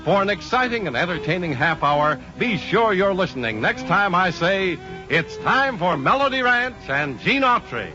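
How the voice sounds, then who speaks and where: A man's voice: high-pitched, big pitch swings, moderate pace, over music. A man with an American accent announcing in a vintage 1940s radio style. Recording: dull vintage radio recording.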